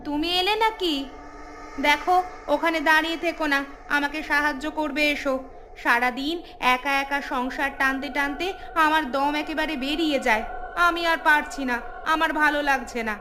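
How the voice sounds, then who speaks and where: A woman's voice: high voice, steady tone, moderate pace, sharp tone, slight background noise. A woman voicing a fussy, easily frightened village housewife in a cartoon story, plaintive and full of exclamations. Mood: fretful complaining.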